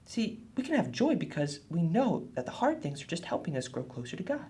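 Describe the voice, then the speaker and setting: A woman voicing an earnest, husky boy puppet who explains things helpfully in a children's puppet show. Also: on the low side, big pitch swings, fairly quick, dark tone, full character voice.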